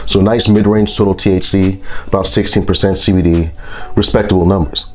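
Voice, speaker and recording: A man with a congested, stuffy voice from a sinus infection, reviewing products in a relaxed, rambling vlog. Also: low voice, brisk, slight background noise. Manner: relaxed, rambling, with frequent fillers.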